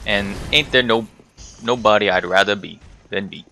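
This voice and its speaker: A man's voice: lowish voice, normal speed, creaky, bright, forceful tone, a little hiss or hum. A man giving casual, rambling commentary over a video game playthrough, chatting to himself and to the game's characters. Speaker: gaming video host.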